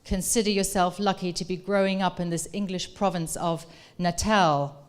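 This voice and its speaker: A woman's voice: fairly low pitch, steady tone, normal speed, clean tone, pitched below the performer's own voice, natural voice. A woman reading aloud as a stern, domineering older Dutch father with a growly Dutch accent. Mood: imperious.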